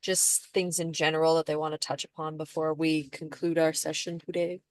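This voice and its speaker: Low-pitched young woman moderating casually. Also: flat delivery, normal speed, no room sound. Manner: informal, conversational. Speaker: discussion moderator. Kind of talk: casual group discussion.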